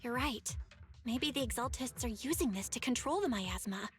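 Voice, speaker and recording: High-voiced, decisive young team leader. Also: female voice, lively intonation, normal speed. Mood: composed, decisive. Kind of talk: video game voice acting. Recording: loud game music behind the voice. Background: loud video game music.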